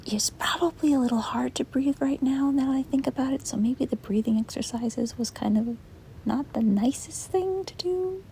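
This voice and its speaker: A woman playing a reluctant, apologetic sleep paralysis demon in a soft, hesitant, breathy ASMR whisper. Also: sits high, moderate pace, low-quality recording. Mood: gentle, apologetic. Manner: hesitant, rambling, soft-spoken. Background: rain and wind.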